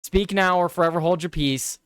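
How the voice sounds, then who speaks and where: A man's voice: sits high, fairly quick, a bit bright, smooth, dry recording, clean studio sound. A man hosting a live political show, reading headlines aloud and chatting casually with his viewers, swearing and repeating himself as he reacts.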